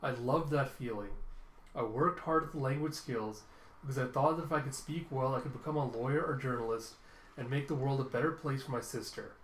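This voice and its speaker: A man voicing a proud, excitable young secret policeman and tutor from a cartoon, condescending and theatrical in manner. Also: medium pitch, a little fast, slight background noise, natural voice. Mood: earnest, lecturing. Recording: roomy, echoing room sound.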